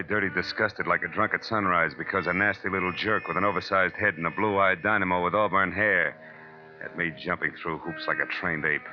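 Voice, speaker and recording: An actor playing a cynical, tough private eye with an American accent, sardonic in a 1940s radio detective style. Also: male voice, low-pitched, lightly acted. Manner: sardonic wisecracks, tough first-person narration. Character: wry, hard-boiled male private detective, cynical and tough. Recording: muffled old radio recording with music.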